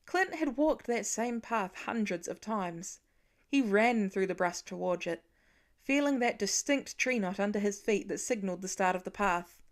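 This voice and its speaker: A woman reading a scripted story aloud as an expressive audiobook narrator, with a medium-pitched voice. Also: moderate pace. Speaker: fan fiction audiobook reader.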